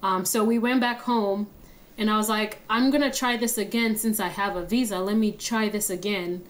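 A young woman, a guest telling her story in an interview. Her voice sits at a middling pitch for a woman. She speaks in a friendly, steady way and laughs easily. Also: moderate pace. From Kenya. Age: young adult. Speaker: guest, app founder.

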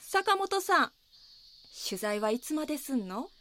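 A woman's voice: sits high, very animated, a little slow, pushed and bright, full character voice. A woman playing a kindly, chatty middle-aged housewife with a Kansai accent in an audio drama. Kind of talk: Japanese audio drama acting.

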